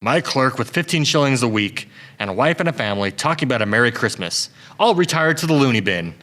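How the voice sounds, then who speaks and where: Sharp, grumbling old male miser played by a man. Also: medium pitch, lively intonation, a little fast. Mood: sour, dismissive. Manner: curt, grumbling. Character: grumpy, miserly old businessman, curt and scornful. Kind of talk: audio drama acting.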